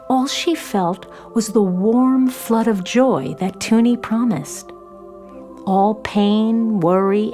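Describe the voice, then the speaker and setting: A woman narrating a scripted children's story in an expressive storytelling manner. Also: fairly high pitch.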